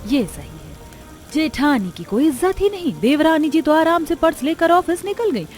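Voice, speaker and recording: A woman's voice: high voice, very animated, moderate pace, darkish tone, pitched above the performer's own voice. A woman voicing a resentful elder sister-in-law in a cartoon family story, grumbling sarcastically. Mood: sarcastic resentment. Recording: music behind the voice.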